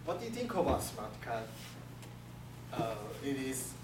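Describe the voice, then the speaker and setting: A young man from South Korea with a Korean accent, giving a class presentation in halting second-language English with many restarts. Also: medium pitch, very animated. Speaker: student presenter. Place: classroom with projector screen. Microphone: far camera. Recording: echoey room from far off, room hiss.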